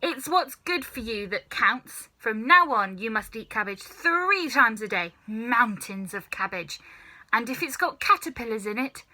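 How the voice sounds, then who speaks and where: A sinister old grandmother in a children's storybook, performed by a woman reader. She is snappish and commanding, with a bright, forceful voice. She takes her time, stretching words with nasty relish. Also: on the high side, animated, measured pace, full character voice. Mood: snappish, contemptuous. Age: older. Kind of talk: children's storybook character reading.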